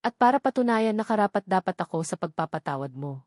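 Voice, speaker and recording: A woman's voice: medium pitch, lively intonation, quick pace, a little mellow, no room sound, clean studio sound, close to an everyday voice. A woman voicing a devoted, protective male lawyer and father in a romantic audio drama, speaking earnestly. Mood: remorseful, pleading.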